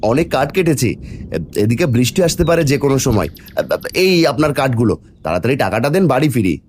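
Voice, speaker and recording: A man's voice: medium pitch, sing-song, fast talker, a little mellow, a little hiss or hum, close to an everyday voice. A man voicing a humble, anxious woodcutter. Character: poor village woodcutter, worried and humble.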